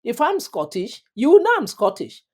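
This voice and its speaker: Earnest middle-aged African woman, mellow voice. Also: a little high, wildly swooping pitch, normal speed. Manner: earnest, emphatic, repeats phrases for stress. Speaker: interview guest. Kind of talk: reflective personal storytelling.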